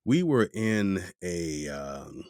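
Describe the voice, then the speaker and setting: A man's voice: deep voice, big pitch swings, drawn-out words, a little mellow, dry recording, studio quality. A man hosting a podcast, chatting casually and playfully with a guest over a remote video call, full of joking asides. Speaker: podcast host. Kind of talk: casual interview chat.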